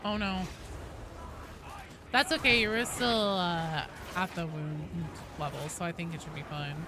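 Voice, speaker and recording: A woman livestreaming a video game, chatting casually and commentating in an informal, sweary, animated way. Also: on the low side, unhurried, noisy background.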